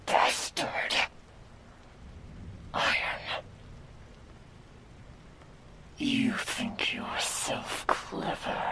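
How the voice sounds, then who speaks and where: A woman playing a haughty, sadistic demon girl in an ASMR roleplay, speaking in a breathy, often whispered voice with theatrical, archaic phrasing. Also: rumbling low, full character voice, rough recording. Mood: menacing anger. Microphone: binaural microphone.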